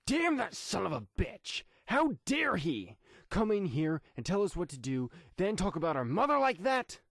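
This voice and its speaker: A man voicing a hot-tempered, scornful brother in a superhero audio story, agitated and given to loud outbursts. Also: sits high, huge pitch swings, normal speed, no echo, studio quality, pitched above the performer's own voice, full character voice. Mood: furious. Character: hot-tempered, tense brother of a young vigilante.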